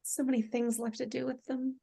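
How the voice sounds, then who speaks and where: An actress playing a tender, wistful wife and mother with a creaky voice in a drama table read. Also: high voice, level tone, brisk, mellow, exaggerated voice. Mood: tender, scared.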